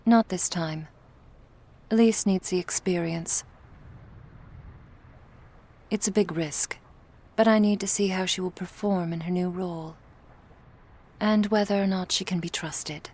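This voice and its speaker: A woman playing a cool, menacing female vampire crime boss in an ASMR roleplay, speaking in a measured, authoritative way. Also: lowish voice, fairly even intonation, a little mellow. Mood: decisive.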